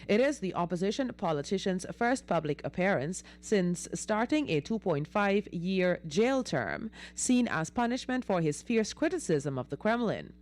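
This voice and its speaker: A woman's voice: fairly low pitch, a little mellow. A woman presenting the news on radio, reading from a script in a studio.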